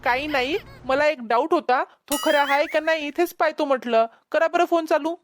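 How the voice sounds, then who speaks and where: High-pitched officious rescue worker voiced by a woman. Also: normal speed, a little hiss or hum, lightly acted. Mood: suspicious. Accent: Vidarbha Marathi. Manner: questioning, instructing. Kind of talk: amateur cartoon comedy voice acting.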